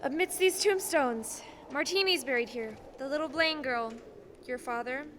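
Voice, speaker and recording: A young woman playing an earnest, gently moralizing guardian angel in a radio play, with noise on the recording. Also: high-pitched, moderate pace. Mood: solemn. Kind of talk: filmed radio play acting, high school production. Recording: clearly noisy stage recording.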